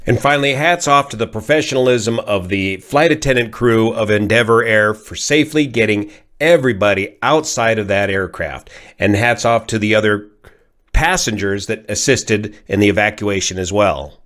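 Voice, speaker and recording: An American man, a pilot from California, giving an informal analysis in a measured, methodical, technical way. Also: lowish voice, a bit bright. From California, United States. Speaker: pilot and aviation commentator. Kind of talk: informal explanatory accident analysis.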